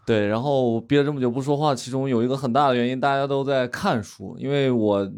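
A man's voice: mid-range voice, fairly even intonation, fairly quick, a little mellow. Hesitant, rambling man chatting. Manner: rambling, hesitant storytelling with many restarts. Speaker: podcast guest. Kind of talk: casual chat.

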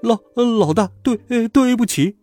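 A man's voice: very high voice, normal speed, darkish tone, no echo, soft background music, pitched above the performer's own voice. A man voicing a schoolboy bully's sidekick, cocky but cowardly, with sneering, whining delivery. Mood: frightened, pleading. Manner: sneering bluster, whining excuses.

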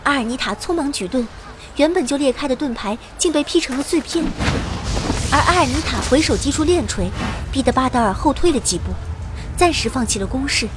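A woman's voice: high-pitched, expressive, a little fast, background noise. An actress playing an earnest young female adventurer who narrates her story in a fantasy radio drama. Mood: urgent, dramatic.

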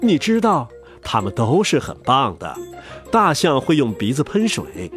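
A man narrating a children's story in an expressive, playful way. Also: medium pitch, measured pace. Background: music.

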